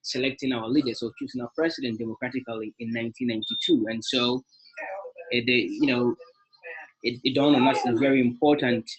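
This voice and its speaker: A man's voice: mid-range voice, a little fast, husky, poor audio. A young man from Ghana, president of an alumni association, giving a webinar talk with many fillers and restarts. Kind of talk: webinar presentation.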